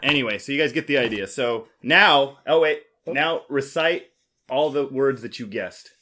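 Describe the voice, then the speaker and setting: A man's voice: medium pitch, normal speed, bright, forceful tone. A man hosting a casual board game podcast, explaining in a teasing, jokey way. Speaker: podcast host.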